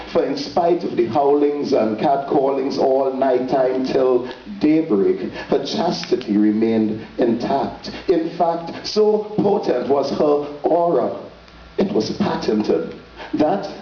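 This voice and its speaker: A man performs poetry slowly, with solemn, theatrical weight and deliberate pauses. He sounds distant from the microphone, in a dull, lo-fi recording. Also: medium pitch. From Trinidad and Tobago. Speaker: poet. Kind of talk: dramatic spoken-word poetry reading. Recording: dull lo-fi, roomy echo, distant, faint noise.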